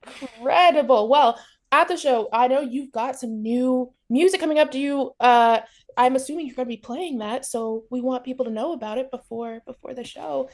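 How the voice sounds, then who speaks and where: High-voiced, gushing woman host. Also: moderate pace, darkish tone. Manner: gushing, enthusiastic, informal. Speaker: interviewer, host. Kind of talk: casual interview chat.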